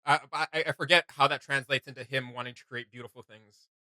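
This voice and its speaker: A man's voice: medium pitch, steady tone, quick pace, sharp tone, dry recording. A man hosting a reaction video, talking in a casual, informal, joking way.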